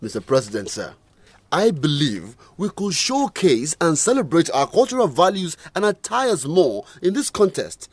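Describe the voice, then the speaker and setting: A principled young Nigerian man, played by an actor, argues earnestly in an audio drama. His voice is fairly high and very animated, recorded dry and close. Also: moderate pace, slightly rough, no echo. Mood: earnest objection. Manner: earnest, argumentative. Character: principled young religious man objecting to immodesty.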